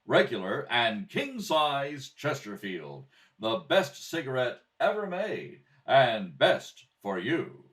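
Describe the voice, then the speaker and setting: A man's voice: mid-range voice, big pitch swings, a bit bright. A man playing an upbeat 1950s radio announcer delivering an emphatic cigarette advertisement. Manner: emphatic, drawn-out salesmanship. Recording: slightly distant home microphone.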